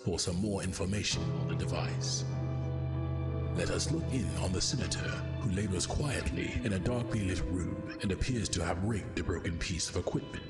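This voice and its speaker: A man's voice: low-pitched, normal speed, close to an everyday voice. A man narrating a space fantasy audio drama as a solemn storyteller, in scripted style. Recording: loud music under narration.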